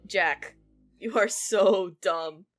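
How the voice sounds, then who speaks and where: A woman's voice: medium pitch, extremely slow, faint music behind. A woman playing a friendly, teasing young female convention-goer, speaking casually in a fan comic dub.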